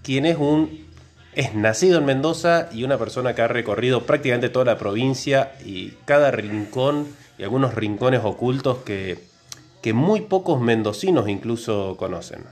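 A man's voice: mid-range voice, lively intonation, normal speed, faint music behind. A man from Córdoba with an Argentine accent, co-hosting a casual podcast conversation. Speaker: podcast co-host, biologist.

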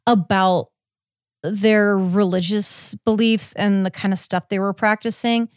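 A woman's voice: mid-range voice, fairly even intonation, measured pace, dry recording, studio quality. A woman hosting a podcast, chatting casually as she recaps a case and explains it step by step. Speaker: podcast host.

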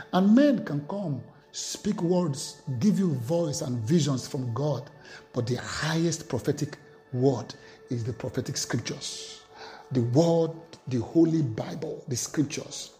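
A man's voice: on the high side, warm tone. A man preaching a sermon, reading scripture aloud with emphatic, rising delivery.

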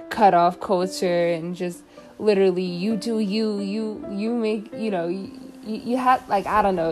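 Young woman, tired voice, rambling solo podcast host, music playing behind. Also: lowish voice. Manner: rambling, many fillers and restarts. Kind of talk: casual late-night solo podcast musing.

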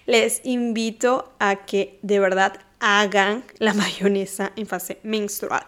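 A woman's voice: mid-range voice, takes it slowly. A woman hosting a solo podcast, talking casually and animatedly, speaking straight to her listeners. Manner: animated, addresses listeners directly, occasional laughs. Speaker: podcast host.

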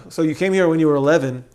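A man's voice: fairly high pitch, fast talker, darkish tone. A man hosting a podcast interview, chatty and upbeat, often laughing along with his guest. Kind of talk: casual interview conversation.

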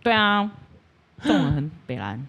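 A woman's voice: deep voice, wildly swooping pitch, normal speed, a bit bright. A woman with a Taiwanese accent talking casually and candidly as a podcast guest. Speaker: podcast guest, audio creator.

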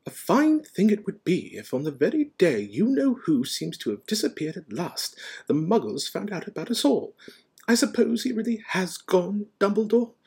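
Man putting on a high-pitched stern witch professor. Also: very animated, normal speed, gravelly, slightly rough, heavily acted. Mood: exasperated, anxious. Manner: sharp, clipped, indignant. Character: stern, severe older witch professor, impatient and prim. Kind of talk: audiobook character voice.

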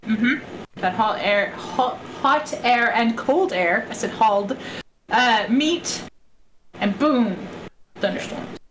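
A woman co-hosting a casual podcast chat, bubbly and quick to laugh, with a shrill voice. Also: animated. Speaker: podcast co-host. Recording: echoey room with background noise.

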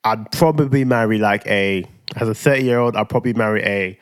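A man's voice: fairly low pitch, fairly quick, smooth. A man, co-hosting a casual podcast chat, musing in a laid-back way with lots of restarts. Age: 30s. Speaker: podcast co-host.

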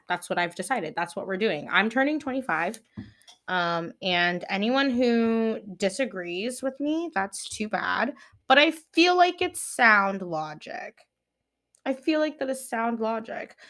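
A woman's voice: on the high side, fairly bright tone. A woman in her twenties hosting a solo podcast, chatting playfully and rambling, with laughs at her own jokes. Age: 20s. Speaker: podcast host.

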